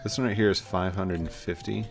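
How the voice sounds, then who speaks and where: A man's voice: low-pitched, steady tone, a little fast, gravelly, loud music behind the voice. A middle-aged man chatting casually as he hosts a gameplay session, coaching and encouraging a co-player. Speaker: gaming channel host. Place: indoors, video game livestream setup.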